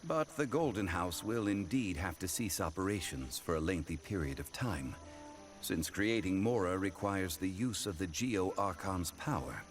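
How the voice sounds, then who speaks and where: An actor playing an ancient god in human guise for a video game, speaking in a measured, formal, learned way. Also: male voice, deep voice, animated, recorded from a distance, faint music behind. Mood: composed, grave. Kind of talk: video game character dialogue.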